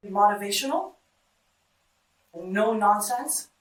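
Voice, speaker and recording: A woman's voice: mid-range voice, lively intonation, takes it slowly. A middle-aged woman, a native Greek speaker, giving short interview answers. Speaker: data center engineer being interviewed. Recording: roomy, distant mic, background noise.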